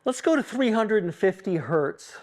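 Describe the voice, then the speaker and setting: Higher-pitched man thinking out loud. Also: sing-song. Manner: halting, self-questioning, explanatory asides. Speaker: acoustics consultant leading a tuning workshop. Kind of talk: informal live technical demonstration, thinking out loud.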